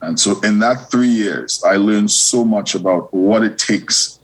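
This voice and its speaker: A man in his forties from Trinidad and Tobago, a university administrator answering interview questions in a measured way with many fillers and restarts. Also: on the low side, husky, rough recording.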